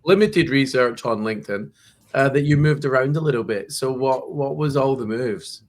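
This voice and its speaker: A man hosts a podcast conversation and asks questions in a loose, relaxed way. He often restarts his sentences and fills pauses with "uh." His voice sits at an ordinary middle pitch. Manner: conversational, with repeated restarts and "uh" fillers. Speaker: podcast host. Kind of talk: casual interview.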